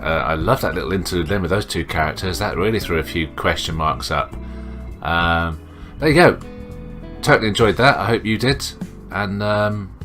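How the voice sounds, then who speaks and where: A low-voiced man hosts a let's play of visual novels. He reads text aloud and chats casually, reacting to the story as he goes. Game music plays clearly behind his voice. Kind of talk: casual gaming commentary mixed with read-aloud dialogue. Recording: voice over loud game music.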